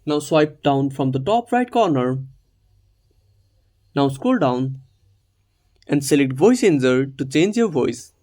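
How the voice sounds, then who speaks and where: A man's voice: medium pitch, expressive, takes it slowly, pauses often, dark tone. A man narrating a scripted step-by-step tutorial as its host.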